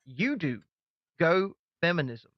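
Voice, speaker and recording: A man co-hosting a casual commentary show, presenting in a brisk, upbeat way. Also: fairly high pitch, big pitch swings, no room sound. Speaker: co-host. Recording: dull, dry lo-fi recording.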